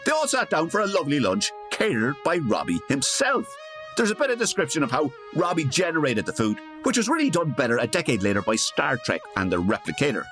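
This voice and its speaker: Comedy narrator for a radio play, lowish voice, super lively, wry little asides, bit of music under. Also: female voice, fairly quick. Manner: wry, digressive.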